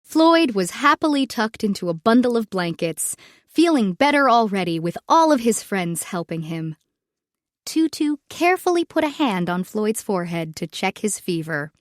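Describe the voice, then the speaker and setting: A woman narrating a children's storybook in an expressive, measured read-aloud manner. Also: medium pitch, no room sound, studio quality.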